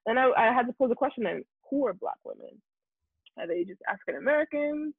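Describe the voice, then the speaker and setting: Husky Nigerian-born man on a tinny line. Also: very high-pitched, animated, fast talker, no room sound. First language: Yoruba. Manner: reflective, searching for words. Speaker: content creator guest. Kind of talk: interview conversation.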